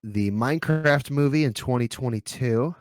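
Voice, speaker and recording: A man's voice: medium pitch, normal speed, no echo, studio quality. A man hosting a podcast, chatting casually with a group. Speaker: podcast host.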